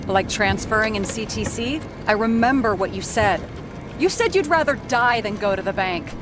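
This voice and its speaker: An actress playing a blunt, troubled woman investigator in a dystopian noir video game, direct, questioning and sharp-tongued. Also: medium pitch, expressive, moderate pace, very noisy, natural voice. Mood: haunted. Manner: direct, questioning, foul-mouthed. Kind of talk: cinematic video game dialogue.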